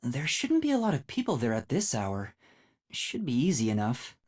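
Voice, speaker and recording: A man voicing an anxious, earnest young male detective in a video game, with a soft, light, boyish voice and a hesitant, polite delivery. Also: mid-range voice, wildly swooping pitch, moderate pace, dry recording, studio quality. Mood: focused. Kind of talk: English video game voice acting.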